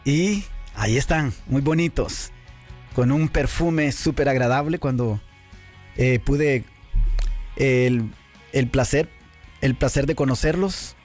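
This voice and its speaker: A man's voice: mid-range voice, slow pace, long pauses, faint music behind. Effusive man hosting a radio show. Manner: warm, effusive greetings with hesitant fillers. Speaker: radio host. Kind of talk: live radio interview. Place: radio studio. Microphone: studio microphone.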